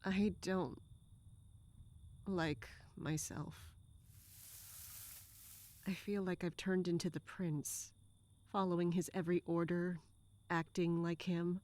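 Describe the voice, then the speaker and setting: A woman playing a weary, bitter guard who grew up on the streets, slow, downcast and self-reproaching, in an audio drama. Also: fairly low pitch, level tone, a little mellow. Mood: bitter, miserable.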